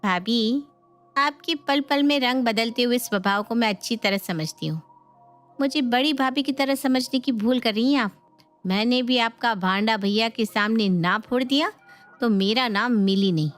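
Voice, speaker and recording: A woman voicing a sharp-witted, watchful young sister-in-law in a family storybook, talking in a knowing, pointed way. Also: on the high side, normal speed, natural voice. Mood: defiant. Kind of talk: dramatised Hindi family storybook. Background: music.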